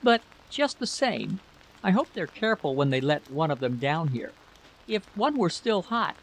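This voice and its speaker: A man voicing a gentle, anxious wife in a story, fretful, hesitant and pleading. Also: a little high, sing-song, normal speed, pitched above the performer's own voice, low-quality recording. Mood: worried.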